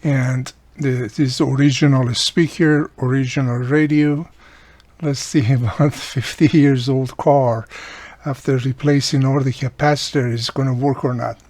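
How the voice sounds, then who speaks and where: A man's voice: medium pitch, fairly even intonation, measured pace, darkish tone, no echo. A man giving a casual hands-on repair demonstration, explaining each step plainly as he works. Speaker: radio repairer and video host.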